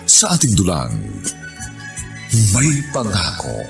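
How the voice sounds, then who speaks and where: A man's voice: deep voice, wildly swooping pitch, takes it slowly, creaky. A man with a Filipino accent announcing a radio drama in a dramatic scripted style, with music behind him. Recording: music under the voice.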